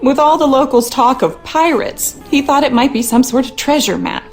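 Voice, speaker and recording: A woman's voice: high voice, lively intonation, moderate pace. An actress playing a worried, skeptical wife and mother, speaking earnestly and dramatically in a game monologue.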